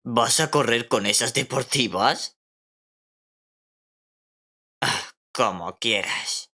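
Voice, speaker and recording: A man's voice: medium pitch, slow, pushed and bright. A man voicing a cocky, nonchalant young runner who taunts, in a Castilian Spanish anime fandub. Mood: taunting, offhand. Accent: Castilian Spanish from Spain.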